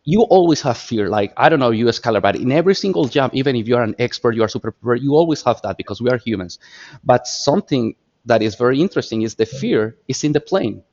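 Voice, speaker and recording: A man in his thirties from Colombia with a Colombian Spanish accent, a podcast guest telling stories in lively, repetitive phrasing. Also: medium pitch, fast, muffled recording. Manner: animated storyteller, repeats words while searching for phrasing. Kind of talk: casual interview talk.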